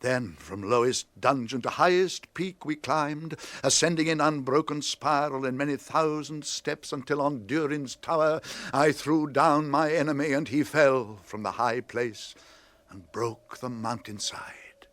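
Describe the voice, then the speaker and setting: An actor playing a solemn, commanding old wizard in a radio drama, with grand declamatory delivery. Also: male voice, mid-range voice, measured pace, a bit bright, no room sound, lightly acted. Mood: solemn, grave.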